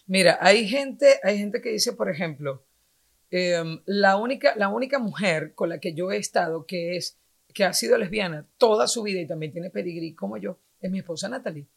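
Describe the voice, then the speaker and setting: A Venezuelan woman in her forties, speaking as a guest in a casual conversation. Her mid-pitched voice has a gravelly edge, and she explains her points earnestly. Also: lively intonation, moderate pace. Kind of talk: casual chat.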